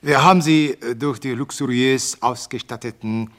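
A man's voice: mid-range voice, moderate pace, lightly acted. An actor playing an earnest male radio correspondent giving a formal, ceremonious live report in a radio play.

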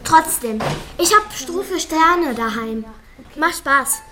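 A friendly, eager schoolchild chats in a children's radio play. The voice is high and young, sounding in an echoey space with music behind it. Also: female voice, lively intonation, takes it slowly, pushed and bright, full character voice. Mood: chatty, insistent.

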